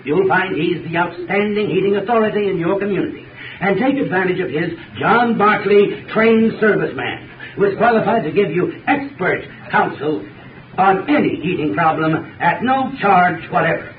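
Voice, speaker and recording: A man giving a formal, deliberate 1930s radio advertising talk as an expert. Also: sits high, expressive. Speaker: heating expert in a sponsor spot. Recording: phone-like, echoey, distant, noisy 1930s radio recording.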